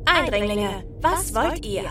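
An actress playing a wary alien lieutenant in a radio play, sharp, suspicious and official. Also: female voice, medium pitch, animated, slow pace. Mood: alarmed, challenging. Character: wary female alien lieutenant challenging intruders over radio. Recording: echoey, distant radio transmission, music behind.

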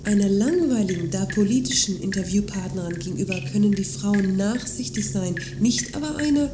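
An actress playing a condescending, talkative magazine journalist in a scripted radio play, speaking in long, pompous sentences. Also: female voice, medium pitch, brisk, noisy background, close to an everyday voice. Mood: haughty reproach. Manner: pompous, wordy, haughty. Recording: music behind the dialogue.

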